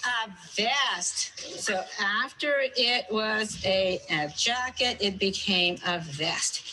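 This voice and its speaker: A woman speaking as a preschool teacher, instructive and deliberate, using simple wording. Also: mid-range voice, sharp tone, slight background noise, rough recording. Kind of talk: instructive talk to and about young children.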